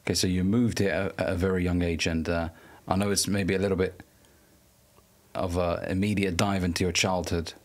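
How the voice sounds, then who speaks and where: Very low-voiced male podcast host. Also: little pitch movement, fairly quick. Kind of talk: conversational podcast interview. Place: podcast studio.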